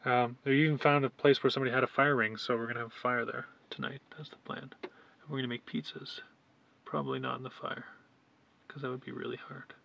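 Young dude chatting in a van, bright voice, bit of noise behind him. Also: male voice, mid-range voice, little pitch movement, fast talker, vocal fry, dull audio. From Canada. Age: 20s to early 30s. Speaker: vlogger. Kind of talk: casual chat. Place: inside a small camper van, parked.

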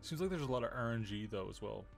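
A man's voice: mid-range voice, lively intonation, quick pace, creaky, faint music behind. A man streaming a game, giving casual commentary and musing aloud as he thinks through his choices.

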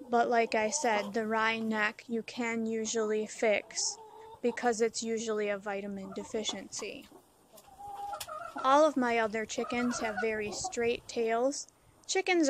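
Woman, channel host, slow gentle explainer, higher voice, faint hiss with clucking hens. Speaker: backyard chicken keeper and channel host. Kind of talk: informal explainer over phone footage.

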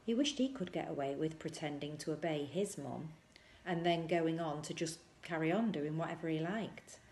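A woman's voice: sits low, moderate pace, darkish tone, a little hiss or hum. A middle-aged woman reading a children's story aloud. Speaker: volunteer reader. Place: small indoor room at home.

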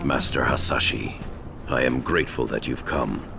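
An actor playing a solemn, honorable ninja grandmaster in a video game, speaking formally and with measure. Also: male voice, a bass voice, normal speed, vocal fry. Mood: solemn, earnest. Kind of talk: cinematic video game acting. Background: loud cinematic game music.

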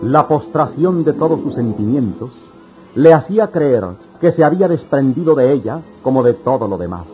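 A man's voice: mid-range voice, expressive, normal speed, natural voice. A man narrating a radio drama in a solemn, poetic, scripted style. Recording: dull old radio recording. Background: music.

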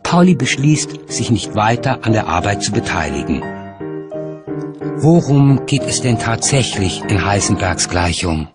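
A man's voice: on the low side, very animated, unhurried. Male documentary narrator with music behind.

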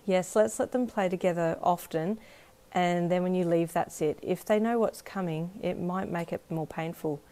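A woman voicing a caring mother who speaks softly and soothingly. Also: fairly low pitch, normal speed, natural voice. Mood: worried. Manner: soft and soothing. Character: gentle, caring young mother.

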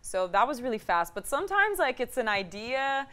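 A woman's voice: fairly high pitch, sing-song, moderate pace, sharp tone, clear, resonant tone. Chatty young woman guest from Brazil. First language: Portuguese. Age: 20s. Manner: chatty, reflective, lots of "like" fillers. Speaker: guest singer-songwriter. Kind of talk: talk show interview. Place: TV studio. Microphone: lapel mic.

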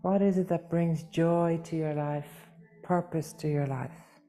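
A woman leading a guided meditation as a yoga teacher, speaking gently and soothingly with long pauses, unhurried. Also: low-pitched, fairly even intonation, faint music behind.

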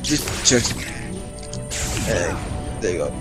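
एक किशोर लड़का, जो गेम खेलते हुए बिखरी, बातूनी कमेंट्री करता है, बार-बार "um" कहकर वाक्य दोबारा शुरू करता है, पीछे गेम का संगीत साफ़ बजता है।. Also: male voice, low voice, big pitch swings, slow. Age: teens. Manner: rambling, chatty, many restarts and ums. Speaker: gameplay commentator. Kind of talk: casual let's-play commentary. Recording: game music playing underneath.

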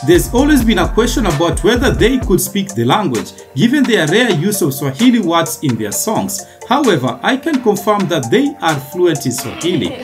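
A woman's voice: fairly low pitch, very animated, moderate pace, few pauses. A woman giving chatty scripted narration for a gossip channel. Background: music.

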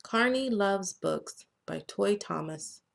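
An American woman reading a children's storybook aloud as a narrator, in a deliberate, expressive read-aloud manner. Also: mid-range voice, creaky. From United States. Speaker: children's author reading own book.

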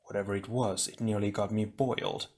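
A man's voice: lowish voice, steady tone, a little fast, darkish tone. A man voicing a stern, reserved male ice hero who speaks formally and tersely. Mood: brooding. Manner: formal, clipped.

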